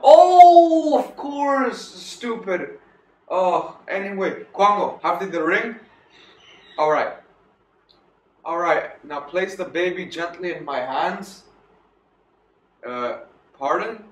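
A man's voice: sits high, expressive, slow pace, pauses often, darkish tone. A man playing a pompous, muddled old turkey clergyman in a theatrical comic monologue, flustered and stumbling over words. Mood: flustered, apologetic. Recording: echoey room, distant mic.

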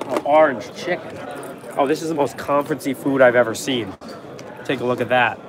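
A young man hosting a casual livestream from a trade show floor, answering chat in a quick, offhand, joking way, his voice worn from a cold. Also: mid-range voice. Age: young adult. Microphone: phone held at arm's length. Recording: noisy crowded convention hall. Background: crowd chatter.

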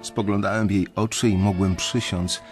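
An actor playing a weary young banker, narrating his story in a radio drama in a reflective, confiding way with a husky voice. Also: on the low side, steady tone, normal speed, music in the background, natural voice. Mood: reflective, resigned.

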